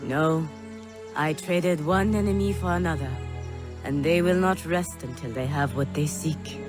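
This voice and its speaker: Sorrowful middle-aged mother: low, tender, formal, game drama, music behind. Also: measured pace. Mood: solemn, loving. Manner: solemn, formal phrasing. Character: tender, sorrowful long-absent mother, freed woman in exile. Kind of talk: dramatic video game cutscene acting. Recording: background music.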